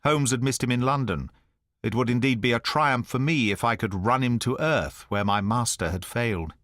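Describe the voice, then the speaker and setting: A man narrating an audiobook in the first person, at a measured, even storytelling pace. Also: medium pitch, no echo, clean studio sound.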